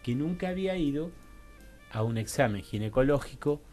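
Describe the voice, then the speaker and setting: Guest expert, male, Rioplatense Argentine accent, halting and thoughtful, medium pitch, faint music behind. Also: lively intonation, darkish tone. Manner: halting, reflective, full of checking tags. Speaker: guest professional working in health and diversity. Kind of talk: radio panel discussion.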